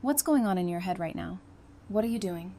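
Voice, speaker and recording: A woman acting a frank, dry-witted guard with a quietly caring side in an audio drama, speaking directly. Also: medium pitch, expressive, brisk, natural voice. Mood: probing, concerned. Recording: faint background noise.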